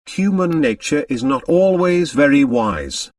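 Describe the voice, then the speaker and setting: A man's voice: a little high, lively intonation, a little mellow, far from the mic. Man acting a kindly, fatherly elderly lawyer. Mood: wry. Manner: measured, formal, advisory.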